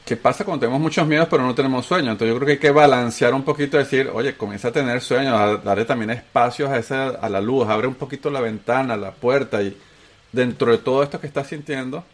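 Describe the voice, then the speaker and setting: A Colombian-born man with a Venezuelan accent, hosting a casual talk show and telling his story with animated emphasis. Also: mid-range voice, quick pace. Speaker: host. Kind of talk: casual chat.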